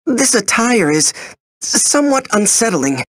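A woman's voice: on the high side, big pitch swings, unhurried, no echo. A woman playing a polite, modest young noble prince in a video game, speaking in a courteous, formal way. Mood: uneasy, polite. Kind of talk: video game character acting.

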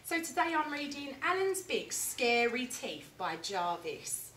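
A young woman teacher reading a picture book aloud with lively, expressive storytelling, in a classroom reading corner recorded from a distance. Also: high voice, a little slow, slight background noise. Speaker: primary school teacher. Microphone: camera at a distance. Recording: echoey room, mic far off.

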